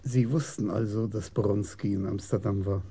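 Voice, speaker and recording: An actor playing a thoughtful senior police commissioner in a radio crime drama, measured and dryly probing, with a husky voice. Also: male voice, lowish voice, steady tone, warm tone. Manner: measured, deliberate, philosophical.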